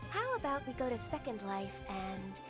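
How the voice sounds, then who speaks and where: A woman voicing a composed, formal female secretary in a video game, polite and measured, over game music. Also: a little high, sing-song.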